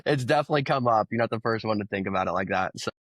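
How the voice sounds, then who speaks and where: A low-voiced man in his thirties from Oklahoma talks as a podcast guest. He rambles through his story with lots of ums and likes. The sound is dry and close. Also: rapid-fire, dry recording. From Oklahoma, USA. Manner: relaxed, rambling storytelling with many fillers. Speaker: guest, crypto founder. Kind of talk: casual conversational interview.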